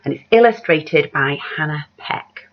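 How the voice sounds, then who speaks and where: A woman reading a children's picture book aloud like a teacher, dramatic and measured, putting questions to her young listeners. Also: low voice, animated. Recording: dull, lo-fi sound.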